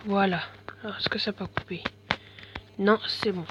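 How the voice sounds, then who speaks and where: A man's voice: sits high, steady tone, normal speed, dull audio, very noisy. A man with a bad head cold giving casual, mumbling commentary over gameplay, full of slang and fillers.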